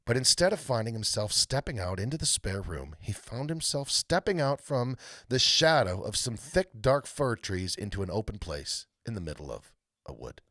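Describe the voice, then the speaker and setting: A man reading a storybook aloud as a live host, narrating dramatically with deliberate pauses. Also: fairly low pitch, big pitch swings, sharp tone, dry recording, clean studio sound. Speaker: read-aloud storyteller hosting a live stream.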